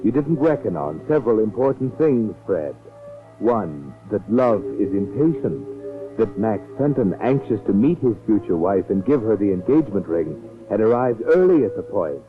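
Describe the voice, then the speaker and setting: Tinny, low-voiced sardonic mystery narrator. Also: male voice, very animated, moderate pace. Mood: sardonic, ominous. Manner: taunting, ominous, addresses the culprit directly. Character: the Whistler, sardonic omniscient man narrating crime tales. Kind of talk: 1940s radio mystery narration. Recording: tinny 1940s radio recording, music behind. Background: dramatic music.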